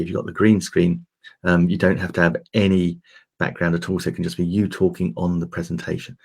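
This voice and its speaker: A man hosting a livestream, chatting loosely through a software and gear demo with lots of fillers, his voice a little croaky. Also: low-pitched. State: slightly croaky voice. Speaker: host demonstrating streaming gear. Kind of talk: casual tech demo talk. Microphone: desk microphone.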